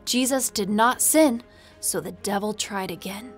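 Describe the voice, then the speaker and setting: A woman's voice: medium pitch, unhurried, husky. Woman narrating a children's story over music. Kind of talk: scripted storytelling narration in short simple sentences.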